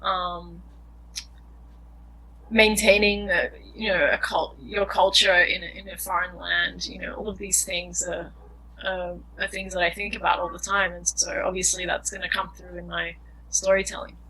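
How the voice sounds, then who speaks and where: A woman's voice: lowish voice, level tone, moderate pace, pushed and bright, husky, rough recording. A young woman filmmaker answering interview questions in an earnest, thoughtful way, often searching for words. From Australia.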